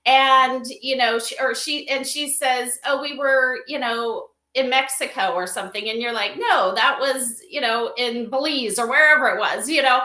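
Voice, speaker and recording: In a roomy, echoey livestream recording, a woman guest explains things in a bright, fairly high voice. She is informal and conversational, often pausing to seek agreement from her listener. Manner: informal, filler-heavy, seeks agreement with "right?" and "you know". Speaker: interview guest, company advisor.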